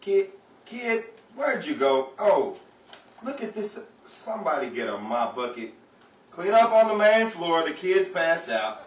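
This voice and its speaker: A man's voice: sits high, very animated, measured pace, muffled recording. A man playing an eager, bumbling character in a 1930s radio comedy, with genial patter and some stammering. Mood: befuddled. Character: eager, bumbling 1930s man, boxing trainer and quiz announcer. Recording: roomy, distant, faint background noise.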